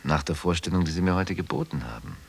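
An actor playing a scheming, commanding conspirator in a radio drama, speaking in theatrical, clipped phrases. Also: male voice, low voice, lively intonation, quick pace, vocal fry, breathy edge, heavily acted. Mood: calm, scheming. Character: scheming, commanding male conspirator pressing his plan.

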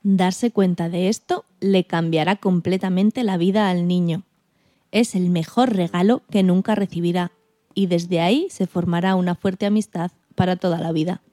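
Warm-toned Spanish woman co-hosting radio. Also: fairly low pitch, steady tone, moderate pace, dry recording, clean studio sound. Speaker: radio co-host. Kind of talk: scripted narration read aloud.

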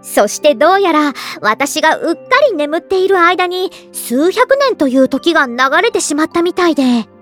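High-voiced, theatrical elf lady artist. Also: very animated, moderate pace, few pauses, faint music behind. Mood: musing, pleased. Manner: theatrical, feminine, self-assured phrasing. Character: ageless elf woman artist, flamboyant, self-admiring, dramatic. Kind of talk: first-person storytelling monologue, anime-style drama CD.

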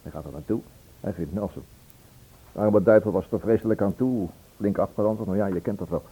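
A man acting in a Dutch radio play as a brisk, blunt police inspector with a conversational delivery. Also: lowish voice, gravelly, dark tone, husky. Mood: matter-of-fact. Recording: thin narrow-band old radio recording.

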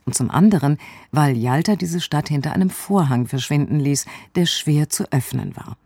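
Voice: medium pitch, animated, normal speed, darkish tone, no room sound. A radio presenter giving a scripted introduction to an audio drama. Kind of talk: scripted narration.

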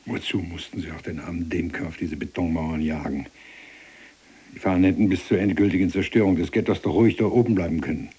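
A sardonic cellmate in an audio drama, a former subordinate who is blunt with his general. The voice is very deep and rough-edged. His delivery is dry and needling, with mock deference. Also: fairly quick, dark tone. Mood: challenging. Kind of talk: German audio drama acting, dialogue.

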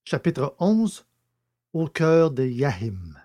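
A man hosting a podcast and narrating a story in a scripted, deliberate manner. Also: on the high side, sing-song, a little mellow.

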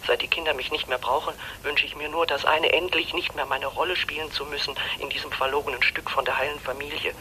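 Radio drama wife, middle-aged, yearning to escape, intense and pleading, piercingly bright tone. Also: female voice, sits low, fairly even intonation, fast, few pauses, a little hiss or hum, low-quality recording. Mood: bitter, weary. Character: disillusioned middle-aged wife longing to escape her life. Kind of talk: German radio play acting.

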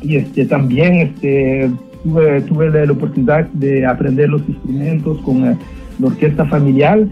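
Lo-fi, muffled male guest, slightly high pitch, halting delivery. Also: steady tone. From born in El Salvador, moved young to Quebec, Canada. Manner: halting, repeats words, searches for phrases. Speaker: interview guest, rapper. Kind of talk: casual interview talk. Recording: dull lo-fi radio line, faint music.

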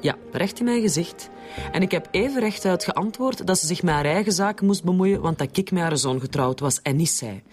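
A woman's voice: on the low side, expressive, fairly quick, darkish tone, close to an everyday voice. An actress with a Flemish accent playing a cool, proud woman who speaks bluntly and dryly in a radio drama. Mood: defiant. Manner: blunt, dry. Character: cool, blunt, sardonic female medical worker, proud wife. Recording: faint background music.

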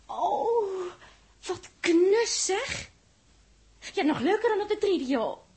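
A woman's voice: very high voice, very animated, takes it slowly, darkish tone, slight background noise, full character voice. A woman playing a naive, chattering teenage schoolgirl stowaway in a 1960s Dutch radio drama, breathless and stammering, with a coquettish way of talking. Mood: chatty, coquettish.

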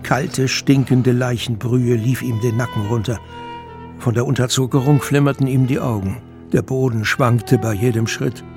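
A man's voice: mid-range voice, fairly even intonation, moderate pace, darkish tone. A man reading dramatic scripted narration for a thriller audio drama. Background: music.

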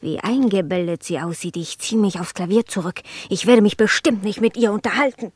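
Woman acting a house cat, haughty, scornful, aloof, medium female pitch, radio play delivery. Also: a little fast, breathy edge, no room sound, close to an everyday voice.

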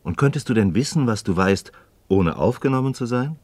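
An actor playing an inquisitive, sceptical friend in a radio dialogue, probing in formal, expressive speech. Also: male voice, mid-range voice, a little fast, no room sound, close to an everyday voice. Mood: sceptical, insistent. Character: inquisitive, sceptical male friend pressing for answers. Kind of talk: German radio play dialogue.

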